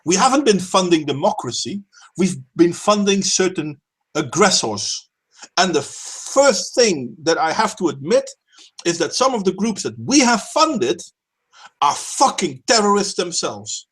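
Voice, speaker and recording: A man's voice: high-pitched, big pitch swings, a little slow, slightly rough. A man hosting a casual stream chat, talking politics emphatically, swearing and repeating words as he starts sentences. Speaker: stream host.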